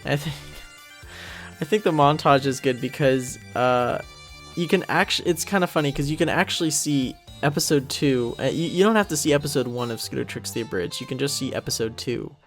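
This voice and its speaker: A man's voice: mid-range voice, a little fast, a bit bright. A man giving a casual, rambling commentary track as creator of the series, thinking aloud with music behind him.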